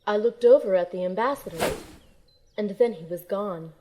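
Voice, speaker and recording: A woman's voice: mid-range voice, moderate pace, dark tone, natural voice. A woman as a dramatic young heroine narrator. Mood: tense. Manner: dramatic, earnest. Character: young heroine narrating her own suspenseful adventure. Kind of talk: scripted first-person narration.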